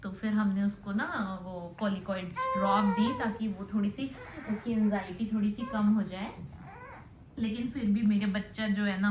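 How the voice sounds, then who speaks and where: A woman's voice: medium pitch, darkish tone. A young woman telling about her day in a casual, rambling audio diary, conversational and mixing in English words. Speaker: vlogger. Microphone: phone set down nearby. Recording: roomy echo with background noise.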